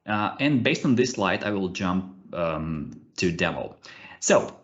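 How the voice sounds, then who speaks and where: A man in his thirties with a Russian accent, presenting a cybersecurity session over a video call. He hesitates often, uses many fillers and restarts, and keeps his delivery animated. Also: deep voice, slow pace, lo-fi sound. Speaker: conference speaker, ethical hacker. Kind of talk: webinar presentation with live demos. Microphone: computer mic on a video call.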